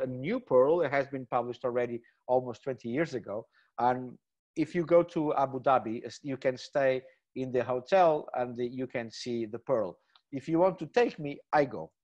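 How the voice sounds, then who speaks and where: A middle-aged man talks through slides in an informal online lecture. He is keen and repeats his words, with plenty of fillers. His voice is mid-pitched and comes through slightly dull webinar audio from a home computer mic. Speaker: gemmologist giving a webinar lecture.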